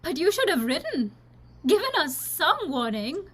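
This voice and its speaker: A woman playing a guarded, highborn young lady with a genteel, fretful way of talking in a radio drama. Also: sing-song, moderate pace. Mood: startled, uneasy.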